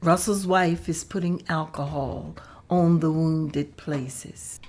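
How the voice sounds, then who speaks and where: A woman narrating a table read in a plain, measured way. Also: low voice, a little mellow.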